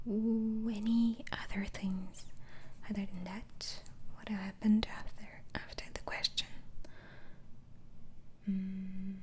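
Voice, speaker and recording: A woman whispers slowly and breathily, playing a mild, patient doctor in an ASMR roleplay. Her tone is dark and soft, with hesitant questions and small murmured acknowledgements. There is some faint background noise around the voice. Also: medium pitch. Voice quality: breathy, mostly unvoiced whisper.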